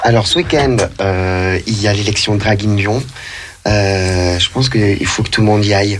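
A man's voice: on the low side, moderate pace, noisy background. A man with a southern French accent, a dancer guesting on a radio show, talking in a chatty, enthusiastic way with many fillers. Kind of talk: casual radio interview. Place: radio studio.

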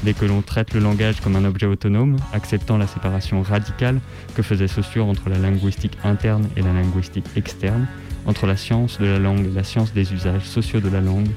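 A man reading a written text aloud on the radio in a measured, articulate, declamatory way. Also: fairly low pitch, level tone, music in the background. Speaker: radio reader. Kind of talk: scripted literary reading aloud.

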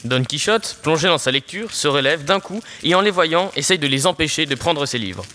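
A man's voice: fairly high pitch, lively intonation, moderate pace, pushed and bright. A teenage boy reading scripted narration for an audio story.

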